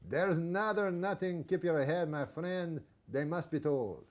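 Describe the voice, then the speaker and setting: A man's voice: on the high side, a little slow, natural voice. A man voicing a vain, aloof returned emigrant who speaks with a French-Canadian accent. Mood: haughty. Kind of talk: dialect poem recitation.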